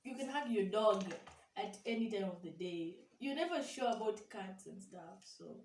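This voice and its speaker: A young woman chatting casually with her sister, lively and animated as she tells stories. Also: medium pitch, normal speed. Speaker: vlogger's sister. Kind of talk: casual sibling tag chat. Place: small bare room indoors. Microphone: camera across the room. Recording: echoey room, distant mic, faint hiss.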